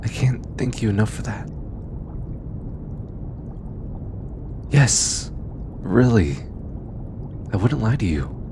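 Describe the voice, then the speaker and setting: A man voicing a gentle, teasing alien researcher in an intimate ASMR roleplay, hesitant and affectionate. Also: lowish voice, noisy background. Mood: warm, earnest. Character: gentle, teasing, grateful male alien researcher aboard spacecraft.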